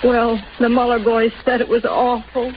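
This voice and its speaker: An actress playing a frail, anxious mother of a young soldier in a tender, tearful, halting 1930s radio style. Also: a little high, flat delivery, close to an everyday voice. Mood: anxious, pleading. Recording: noisy, muffled 1930s radio broadcast.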